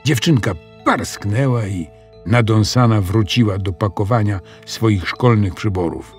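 A man's voice: lowish voice, a little slow. A man narrating a scripted children's story as a storyteller. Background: music.

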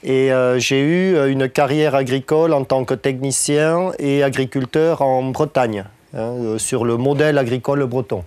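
A man's voice: mid-range voice, fairly even intonation, normal speed, few pauses, darkish tone. A man in his forties with a light southwestern French accent leads a farming workshop, talking easily to his audience with many fillers. His voice is dry and close on a lapel mic, with no room echo. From southwest France. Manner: conversational, many fillers and tag questions. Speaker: farm trainer. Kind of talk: training workshop talk.

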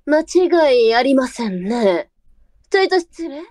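A woman playing a shrewd, sharp-tongued, brisk brothel madam in a period audio drama. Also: sits high, very animated, no echo, clean studio sound, full character voice. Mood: wary, businesslike. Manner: blunt, switches to formal politeness with guests.

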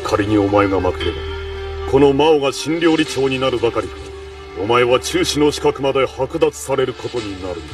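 A dignified male official from a cartoon, played by a man, speaking at medium pitch with grave authority. He is formal and stern, a little distant under loud music. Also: expressive, a little fast, lightly acted. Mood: stern warning. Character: stern, dignified male imperial governor and food judge. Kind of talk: anime acting.

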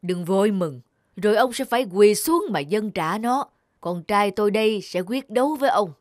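A woman's voice: medium pitch, animated, normal speed, dry recording, clean studio sound, natural voice. A woman voicing a vengeful old wind god father in a cartoon, grand and commanding. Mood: proud.

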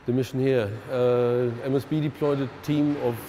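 A man's voice: medium pitch, level tone, normal speed, dark tone, a little hiss or hum. A middle-aged man with a German accent, a geologist answering in an outdoor interview. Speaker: geologist, waste management expert. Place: outdoors, overlooking water.

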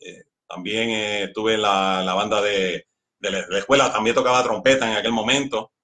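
Puerto rican man, middle-aged, bright tone, lively and laughing, casual interview, small room, camera mic. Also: lowish voice, a little fast. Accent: Puerto Rican Spanish. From Puerto Rico. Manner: exuberant, laughs often. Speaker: musician guest. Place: small indoor studio room.

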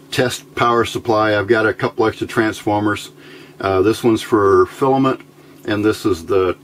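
Low-voiced man, halting, with background noise. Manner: halting, informal, thinking aloud. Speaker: electronics hobbyist demonstrating a homebuilt circuit. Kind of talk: unscripted hands-on tutorial. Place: indoors at a workbench. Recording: amateur recording with clear background noise.

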